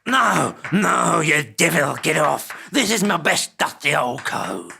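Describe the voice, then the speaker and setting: Man as shabby has-been guard, comic audio drama. Protesting, creaky, animated, slightly noisy recording. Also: a little high, slow, exaggerated voice. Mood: panicked. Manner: agitated, protesting. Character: scruffy has-been door guard in a dusty coat.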